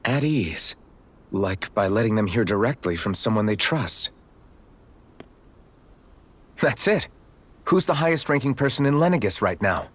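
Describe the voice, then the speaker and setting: An actor playing an earnest, decisive young hero in a video game, with a husky voice. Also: male voice, on the low side, animated, unhurried, pauses often. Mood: determined.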